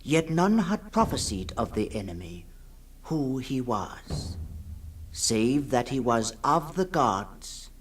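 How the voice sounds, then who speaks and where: A man reading a fantasy tale as scripted dramatic narration, solemn and portentous, with deliberate pauses. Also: medium pitch, sing-song, a little hiss or hum. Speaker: story reader.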